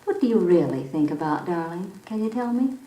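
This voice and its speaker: A woman playing a gentle, reflective, affectionate mother in an old-time radio soap, speaking in a tender, musing, coaxing way. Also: on the low side, expressive, a little fast, close to an everyday voice. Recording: roomy vintage 78rpm radio recording.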